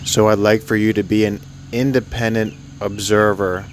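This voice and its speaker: A man leading a guided sleep meditation, speaking gently and soothingly in an unscripted, halting way with long pauses. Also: fairly low pitch, flat delivery, a little hiss or hum.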